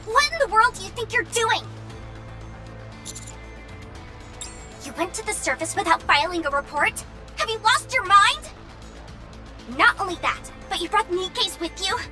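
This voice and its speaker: A woman playing a flustered, rule-minded young female mission operator in a game, excitable and quick to scold. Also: very high-pitched, over music. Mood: outraged. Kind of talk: dubbed game cutscene voice acting.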